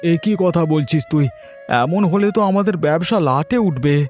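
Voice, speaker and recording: A man's voice: on the high side, lively intonation, normal speed, darkish tone, faint music behind, close to an everyday voice. A man voicing a chatty, status-conscious village trader in a cartoon folk tale, with theatrical delivery. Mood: concerned, snobbish.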